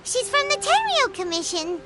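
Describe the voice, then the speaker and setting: A woman voicing a perky, excitable childlike fairy companion in an anime video game, with a squeaky voice. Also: normal speed, heavy background noise. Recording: game music behind the voice.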